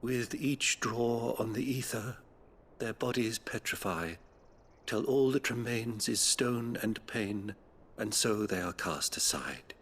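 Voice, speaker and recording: An actor playing a gentle, solemn priest who tends the dying in a video game cutscene, speaking softly and with measured care. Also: male voice, medium pitch, husky. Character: gentle older male abbey priest, solemn and kindly.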